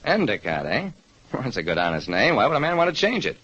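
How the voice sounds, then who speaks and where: A man's voice: mid-range voice, huge pitch swings, quick pace, a bit bright, slightly rough, full character voice. A man playing a shrewd, wry hardboiled private detective narrating an old radio mystery. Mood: wry, probing. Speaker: narrator and lead actor. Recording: muffled old radio recording.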